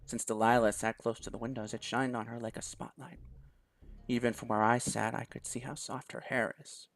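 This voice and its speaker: A man reading a visual novel aloud in a measured, storytelling manner. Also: mid-range voice, flat delivery, vocal fry, fairly bright tone. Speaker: game streamer.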